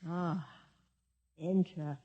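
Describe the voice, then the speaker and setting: Slow, high, wary male gatekeeper. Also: steady tone, mellow, slight background noise. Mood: suspicious. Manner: suspicious, terse, deliberate. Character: wary, terse gatekeeper of a holy man's dwelling. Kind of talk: 1950s radio drama acting. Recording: dull reverberant old radio recording.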